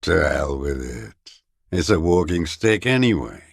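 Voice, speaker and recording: Very deep, gravelly old deserter. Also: male voice, very animated, no room sound, clean studio sound, heavily acted. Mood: bitter, dismissive. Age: older. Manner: slow, contemptuous, sneering. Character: bitter old communist deserter, male, scornful and unrepentant. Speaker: voice actor. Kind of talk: dramatic video game character dialogue.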